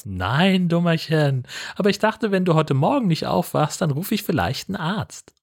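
A man's voice: on the high side, very animated, moderate pace, gravelly, husky, voice pushed up, heavily acted. A man voicing a caring, easygoing young woman in an amateur radio play of film dialogue, cooing lightly. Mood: concerned, teasing.

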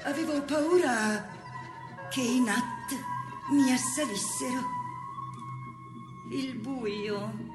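A haunted, reflective woman in a poetic stage monologue. She speaks very slowly and deliberately, in a slightly high voice. The sound is echoing, with dramatic, measured phrasing. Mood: fearful, haunted. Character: haunted, reflective woman recalling childhood fear of spirits. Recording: echoing theatrical sound with prominent music. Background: music.

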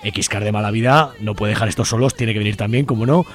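A man's voice: on the low side, quick pace. A man with an accent from Spain hosting an informal radio show in a chatty, colloquial way.

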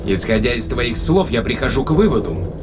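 A man's voice: medium pitch, lively intonation, brisk, natural voice. A man acting a skeptical, defiant spaceship crewman in a science-fiction audio thriller, speaking in a probing, sardonic way. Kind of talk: dramatized sci-fi thriller audio play. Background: dramatic music.

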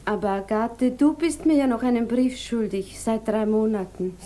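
An actress playing a shy, sensitive provincial widow in a radio play, reserved and introspective. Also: female voice, a little high, normal speed, warm tone, natural voice. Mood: polite. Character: shy, sensitive provincial young widow, wistful and easily hurt. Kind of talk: German radio play acting.

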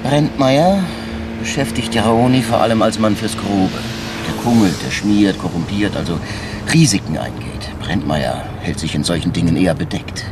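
Radio crime drama: shrewd, unorthodox male inspector, lowish voice, insinuating, politely needling, over clear street noise. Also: animated, moderate pace. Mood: pressing, reasoning. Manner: insinuating, mock-deferential, argues step by step. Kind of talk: naturalistic German radio crime drama acting.

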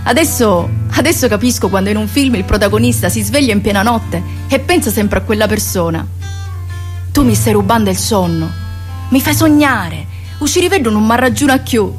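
A woman's voice: medium pitch, animated, normal speed, close to an everyday voice. An actress playing a young woman in love with a Sicilian accent, intimate and wistful in a radio play. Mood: dreamy, wistful. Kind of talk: radio theatre monologue. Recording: soft guitar music behind.

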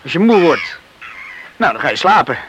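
A man's voice: on the high side, big pitch swings, normal speed, a bit bright, slightly rough, full character voice, poor audio. A man acting in a Dutch radio play as an earnest, tender, hardworking young farm man in love. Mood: matter-of-fact, busy. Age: young adult.

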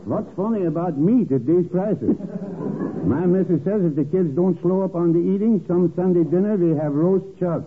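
An actor playing a good-natured, teasing Scandinavian working man with deadpan broken English in an old radio comedy. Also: sits high, moderate pace, natural voice. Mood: folksy, matter-of-fact. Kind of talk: 1940s-50s radio comedy acting. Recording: tinny, faintly noisy old radio broadcast.

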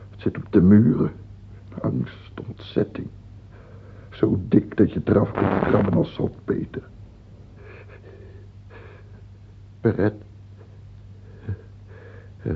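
An actor playing a morbid, fearful king in a radio play, brooding and theatrical, with a husky, gravelly voice. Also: sits low, slight background noise, exaggerated voice. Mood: anguished, brooding. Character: morbid, fearful French king haunted by death. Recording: muffled narrow-band old radio recording.

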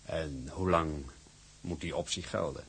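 Gravelly, imperious older company boss. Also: male voice, a bass voice, fairly bright tone, heavily acted. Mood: wry, guarded. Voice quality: gravelly, husky. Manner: deliberate, patronising.

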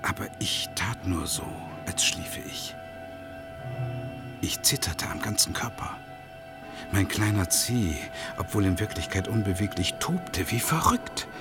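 A man's voice: lowish voice, unhurried. A man acting in a radio drama as an anxious father who narrates his feverish nightmares expressively. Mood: uneasy. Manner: expressive, building to agitation. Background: music.

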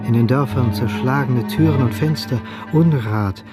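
A male narrator tells a radio drama in a mid-pitched voice. He is measured and expressive, pausing deliberately, with loud music playing behind him.

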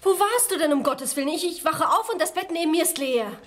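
Casting: worried wife in radio mystery, high swooping voice, measured delivery. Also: female voice, lively intonation. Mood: alarmed. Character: alarmed, worried English wife, Mrs Gordon. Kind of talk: German radio crime play acting.

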